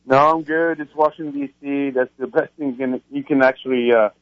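A man from France in his thirties, speaking as a radio guest. He talks earnestly, often restarting his sentences while telling his story. His mid-pitched voice comes through a tinny, dry phone line. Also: level tone, a little fast, no echo. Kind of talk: phone-in interview.

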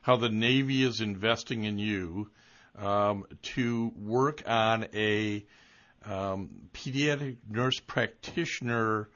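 A man hosting an interview, asking questions in a halting way, with many uhs and restarts. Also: fairly low pitch, a bit bright. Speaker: interviewer host. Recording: dull lo-fi audio.

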